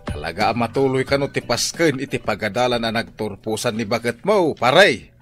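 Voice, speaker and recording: A man's voice: medium pitch, expressive, a little fast, fairly bright tone, faint music behind. A man with a Filipino accent playing a chatty gossip in a radio drama. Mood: gossipy.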